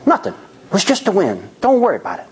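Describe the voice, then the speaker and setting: A man's voice: sits high, huge pitch swings, quick pace, darkish tone, slightly rough, pitched above the performer's own voice, heavily acted. A man voicing a cocky, irritated young boyfriend in a spooky told story, dismissive and blustering.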